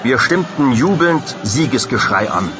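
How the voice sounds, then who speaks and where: An actor playing a proud Macedonian soldier who solemnly and fervently recounts a glorious battle in an audio drama. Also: male voice, medium pitch, animated, unhurried, highs cut off, natural voice. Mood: proud, excited.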